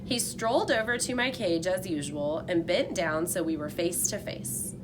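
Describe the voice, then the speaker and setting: A woman narrating a children's chapter book as a read-aloud, in an expressive storytelling style. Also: on the low side, normal speed, background noise. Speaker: storybook reader.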